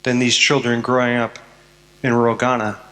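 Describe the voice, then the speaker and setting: A man's voice: mid-range voice, level tone, moderate pace, bright, forceful tone. A Canadian man telling his life story in a prepared talk to students, earnest with some self-mocking humor. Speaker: speaker addressing students. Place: school hall. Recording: long hall reverb.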